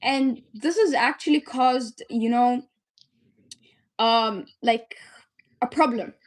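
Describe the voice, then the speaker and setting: Earnest, higher-voiced young woman guest. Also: slow pace. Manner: earnest, chatty, many fillers. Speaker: guest, medical student and startup founder. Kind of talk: interview, telling her story.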